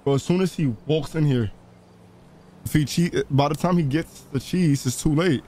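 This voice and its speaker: A man's voice: a little high, normal speed, dark tone, a little hiss or hum. A man playing a crime role-play game, talking in profane, repetitive street slang and bossing others around. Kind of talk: in-character gaming banter, street slang.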